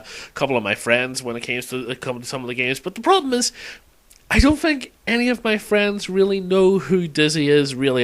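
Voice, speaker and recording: A man's voice: mid-range voice, sharp tone. A man talking casually and unscripted as a game fan in an audio log, hesitating, rambling and correcting himself as he reminisces.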